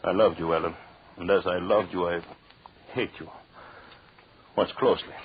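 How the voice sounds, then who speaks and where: An actor playing a jealous, murderous husband in an old radio drama, with a theatrical, mocking menace and formal phrasing. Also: male voice, low voice, fairly even intonation, normal speed, a little hiss or hum, natural voice. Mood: bitter, gloating. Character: vengeful, jealous husband, cruelly gloating murderous anthropologist. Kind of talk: 1940s radio drama acting. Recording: dull, lo-fi old radio recording.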